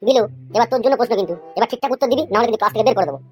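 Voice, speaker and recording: A woman's voice: a little high, racing, faint music behind, natural voice. A woman voicing a stern, easily exasperated male schoolteacher in a comic cartoon. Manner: scolding, questioning.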